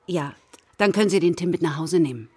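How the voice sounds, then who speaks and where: A woman's voice: fairly low pitch, brisk, mellow, slightly rough. A woman playing a well-meaning, overeager primary school teacher in a children's radio play, speaking politely and a little hesitantly. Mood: politely eager. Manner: polite, hesitant, rambling, eager to please. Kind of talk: naturalistic German radio drama acting.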